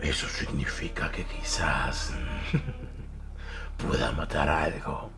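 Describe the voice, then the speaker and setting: A man voicing a brutal warrior henchman who relishes killing, speaking with slow, gleeful menace in an audio drama. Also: extremely low pitch, creaky, music in the background, pitched below the performer's own voice, full character voice. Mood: eager for violence. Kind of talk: dramatic audiobook villain acting.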